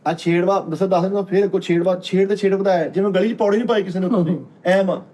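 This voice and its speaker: A man's voice: sits high, fairly even intonation, fast talker, warm tone. A man with a Pakistani Punjabi accent telling casual comic anecdotes in a teasing way, acting out what people said. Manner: teasing, quotes others' dialogue. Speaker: comedy panel member.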